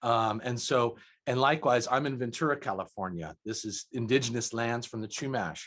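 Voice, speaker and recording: A man hosting an interview over a call, full of generous praise, his sentences often sinking softly at the end. His pitch sits in a plain middle range. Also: normal speed. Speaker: interviewer host. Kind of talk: casual interview.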